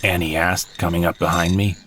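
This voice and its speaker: Male narrator, deep and wry, first-person storytelling over audible noise. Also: level tone, a little fast, fairly bright tone.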